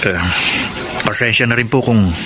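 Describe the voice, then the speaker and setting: An actor playing a troubled but respectful married contractor in a Filipino radio drama. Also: male voice, mid-range voice, normal speed, noisy background, natural voice. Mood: troubled, resigned.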